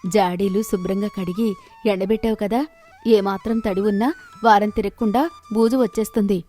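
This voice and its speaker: A woman voicing an affectionate, contented elderly village grandmother in a moral story, doting and gently instructive. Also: medium pitch, fairly even intonation, moderate pace, soft background music, lightly acted. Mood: pleased, instructive. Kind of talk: animated moral story voice acting.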